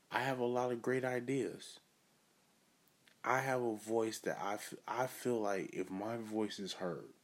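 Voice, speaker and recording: A man hosting his own podcast, giving an earnest, self-assured motivational monologue. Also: fairly low pitch. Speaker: podcast host.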